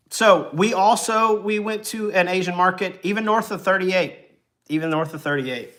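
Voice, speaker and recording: A forty-year-old man chats loosely on a live travel stream, filling his pauses with ums and uhs. His voice sits a bit high for a man, and he sounds relaxed and unhurried. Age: 40s. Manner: rambling and easygoing, many ums and uhs, chuckles. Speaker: travel vlogger hosting a livestream. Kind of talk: casual livestream chat.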